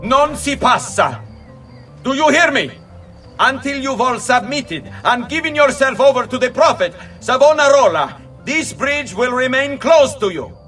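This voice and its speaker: A very high-pitched imperious zealot. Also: male voice, lively intonation, measured pace, full character voice. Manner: loud, imperious declaiming. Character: belligerent zealot man barking commands at a crowd. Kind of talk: dramatic video game cutscene acting. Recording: distant voice over music.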